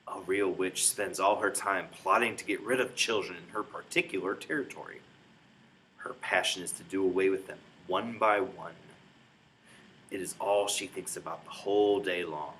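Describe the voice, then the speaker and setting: A man's voice: fairly low pitch, fairly bright tone, rough recording. A man reading a children's book aloud in a dramatic, emphatic storytelling manner. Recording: clear background noise, room ambience.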